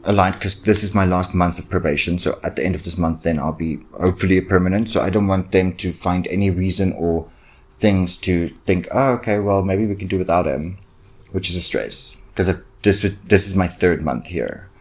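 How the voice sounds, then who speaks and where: A young man with a very deep voice, a native Afrikaans speaker, talks through his worries on a solo podcast. He rambles and halts, restarting sentences and filling gaps with ums, sounding anxious and inward-looking. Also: a little fast, a little mellow. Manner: anxious, self-reflective. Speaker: podcast host. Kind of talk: solo personal podcast rant.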